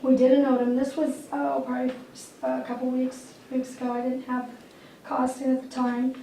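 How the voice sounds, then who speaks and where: A woman with a self-described squeaky voice giving a matter-of-fact airport manager's report at a public board meeting, clearing her throat now and then. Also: monotone, normal speed, warm tone. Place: meeting room. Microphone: distant room mic. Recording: echoey room from far off, faint noise.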